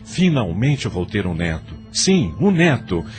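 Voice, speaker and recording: A man playing an older, doting father in an audio drama, effusive and drawn-out. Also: fairly low pitch, very animated, soft background music. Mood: delighted. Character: doting, overjoyed father longing for a grandson.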